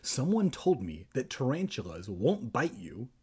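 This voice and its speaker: A man voicing a smug, creepy boy in a storybook reading, giving slow, hushed, deliberate warnings. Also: mid-range voice, very animated, slightly rough, heavily acted. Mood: smug calm.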